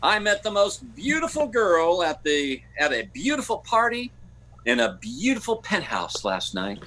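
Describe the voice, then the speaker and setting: A man's voice: high-pitched, wildly swooping pitch, bright, forceful tone, heavily acted. A man playing a melodramatic, easily flustered bachelor playboy in 1940s-style radio comedy, with a theatrical delivery. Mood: droll, lovelorn. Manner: drawn-out, theatrical, stammering when flustered.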